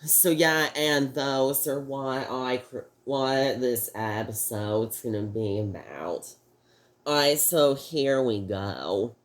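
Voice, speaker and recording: Slow-talking male reviewer, sick and slightly off-sounding, rambling and halting. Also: mid-range voice. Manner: halting, rambling, frequent restarts. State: sick, voice a little off. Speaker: toy review host. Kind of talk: casual video review.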